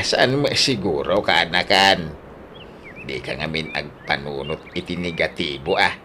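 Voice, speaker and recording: A woman's voice: a bass voice, a bit bright, full character voice. An actress playing an emotional, fretful grieving woman in an Ilocano radio drama, with exclamatory, tearful delivery and an Ilocano accent. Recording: faint background noise.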